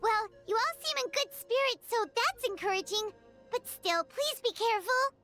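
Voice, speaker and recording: A woman's voice: very high voice, animated, normal speed, sharp tone, faint music behind, exaggerated voice. A woman voicing a tiny, cheerful and earnest childlike companion in a video game. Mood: earnest, encouraging.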